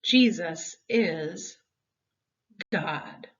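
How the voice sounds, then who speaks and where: A woman's voice: lowish voice, animated, extremely slow. A woman reading a children's Bible story expressively, with dramatic, emphatic phrasing meant for young listeners. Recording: dull, distant home-style recording.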